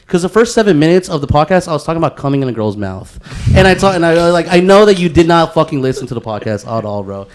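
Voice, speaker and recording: A man's voice: on the high side, very animated, quick pace. A man hosting a casual podcast, chatting in a slangy way with plenty of swearing and lots of bro and dude. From San Francisco Bay Area. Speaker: podcast host.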